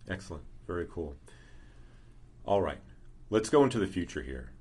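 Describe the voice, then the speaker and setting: A man hosting a laid-back interview, with a voice that sits very low. He is relaxed and affirming, often pausing with fillers as he works toward his questions. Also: breathy edge. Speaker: interview host. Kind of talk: casual interview chat.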